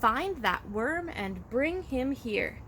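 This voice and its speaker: A woman's voice: high voice, sing-song, slow pace, fairly bright tone, a little hiss or hum, exaggerated voice. A woman voicing a bossy, menacing wizard lizard in a children's storybook, with commanding, threatening delivery. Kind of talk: children's storybook read-aloud.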